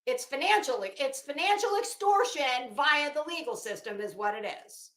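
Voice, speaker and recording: A woman giving informal, opinionated commentary in an emphatic, scornful manner, swearing freely and pausing dramatically. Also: sits high, lively intonation, a bit bright, roomy sound. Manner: emphatic, scornful, profane, dramatic pauses and repetition. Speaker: online commentator.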